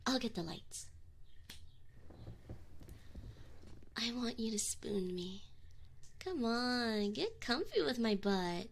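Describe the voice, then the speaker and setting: A woman's voice: on the high side, lively intonation, vocal fry, exaggerated voice. A woman playing a young tsundere succubus in an intimate ASMR roleplay, prickly and defensive, with affection and neediness showing through. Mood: fond, bossy. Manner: defensive, teasing, halting when admitting feelings. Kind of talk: scripted ASMR roleplay acting, intimate direct address.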